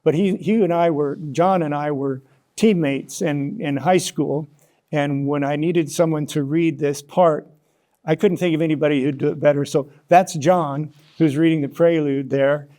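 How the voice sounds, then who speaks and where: Mellow, slightly high-voiced man being interviewed. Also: steady tone. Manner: halting, repeats words as he explains. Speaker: interview guest, author. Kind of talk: conversational interview answers. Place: broadcast interview studio.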